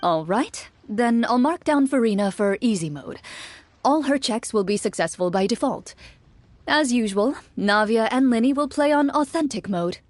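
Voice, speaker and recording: An actress playing a composed, cool-headed game master who narrates fantasy in a measured way for a video game. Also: female voice, a little high, lively intonation. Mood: matter-of-fact. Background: music.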